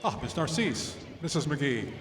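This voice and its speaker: A man's voice: a little high, very animated, noisy background. A man playing a courtly minister, echoey hall. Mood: courteous. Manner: formal, measured, courtly. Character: courteous, gently earnest 1900s minister and devoted husband. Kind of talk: old-time radio drama acting. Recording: echoey hall with audience sounds.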